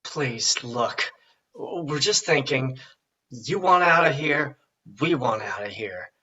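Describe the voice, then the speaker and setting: A man playing an anxious, conciliatory survivor in a horror script reading, with a raspy, hesitant voice. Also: mid-range voice, lively intonation, slow, bright, forceful tone, exaggerated voice. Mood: anxious, pleading. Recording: dull, distant microphone.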